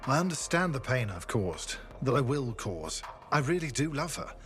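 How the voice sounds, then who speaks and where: A man playing a haunted, remorseful spy in a video game cutscene, speaking in a measured, solemn way. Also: mid-range voice, big pitch swings, a bit bright, soft background music. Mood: remorseful, earnest. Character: brainwashed spy, remorseful husband, grave and haunted.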